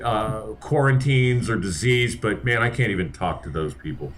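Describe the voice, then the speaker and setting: A man's voice: lowish voice, expressive, normal speed. A man playing in a tabletop game, easygoing and hesitant, with plenty of fillers. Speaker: player. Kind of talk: casual tabletop game chat.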